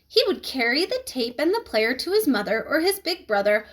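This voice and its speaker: High-pitched, animated woman reading a story. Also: moderate pace. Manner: animated, emphatic storytelling with questions to listeners. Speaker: read-aloud storyteller. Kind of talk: expressive children's book read-aloud.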